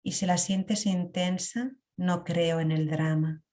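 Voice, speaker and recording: A woman leading a guided emotional meditation in a calm, reflective way. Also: on the low side. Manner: slow, calm, reflective guidance with long pauses.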